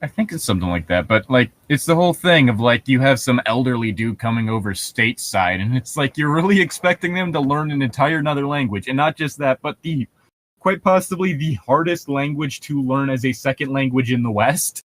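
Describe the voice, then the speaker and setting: A man's voice: mid-range voice, animated, a little fast, fairly bright tone. A man co-hosting a casual commentary chat, explaining things in a rambling way. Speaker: co-host.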